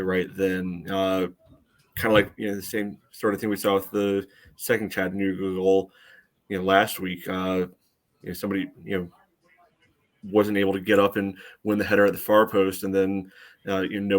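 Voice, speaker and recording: An American man co-hosting a soccer podcast, analyzing play casually and thinking aloud with a lot of "you know". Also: low voice.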